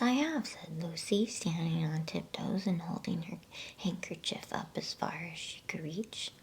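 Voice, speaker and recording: Whispering woman reading a bedtime story. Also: low voice. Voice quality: breathy whisper. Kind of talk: whispered ASMR storybook narration.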